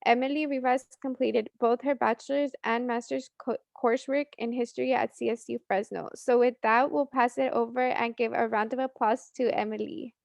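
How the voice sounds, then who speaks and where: A woman hosting a program, reading out a measured introduction of the guest speaker. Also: fairly high pitch, fairly even intonation, gravelly, darkish tone, no room sound. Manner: measured, read-aloud. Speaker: program host.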